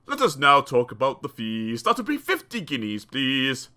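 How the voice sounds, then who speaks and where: A man's voice: mid-range voice, huge pitch swings, a little slow, pushed and bright, voice pushed down, heavily acted. A woman voicing a gleeful, grasping male dentist with a gloating, emphatic manner in a storybook reading. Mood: brisk, haughty.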